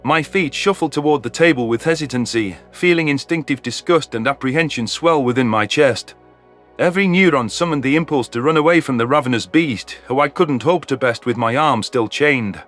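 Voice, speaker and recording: A man delivers scripted first-person story narration in a mid-pitched voice. Faint music runs underneath him. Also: moderate pace, fairly bright tone.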